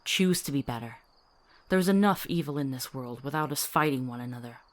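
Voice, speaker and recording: A woman's voice: very deep voice, a little fast. A woman playing a principled, capable healer in a fantasy drama, articulate, authoritative and dryly witty. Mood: firm. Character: firm, principled female healer, capable and wry. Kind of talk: fantasy audio drama acting.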